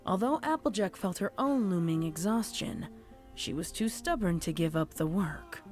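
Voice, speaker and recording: A woman's voice: lowish voice, lively intonation, normal speed, darkish tone, faint music behind. A woman reading scripted fiction as a storybook narrator. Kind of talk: scripted audiobook-style horror fan fiction narration.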